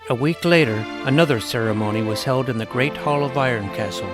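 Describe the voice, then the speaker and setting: Clear music runs under a man as an earnest young hero telling his fantasy adventure. The narration is scripted, first-person and measured, in an audio drama storybook manner with a mid-pitched voice. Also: natural voice. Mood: wry, settled. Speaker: narrator and lead character.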